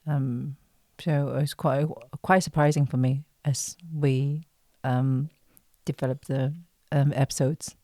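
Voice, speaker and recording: A woman speaking as an interview guest on a podcast, halting and thoughtful, with many pauses. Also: extremely low pitch, level tone, mellow, no room sound, clean studio sound. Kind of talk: conversational interview.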